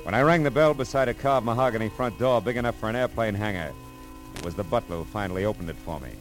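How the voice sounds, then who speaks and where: Man playing a low-voiced hard-boiled radio detective. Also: fast talker, close to an everyday voice, poor audio. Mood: wry narration. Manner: clipped, wry, tough-talking. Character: hard-boiled wisecracking male private detective narrating his case. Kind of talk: 1940s radio detective acting and narration. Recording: old radio recording with loud music.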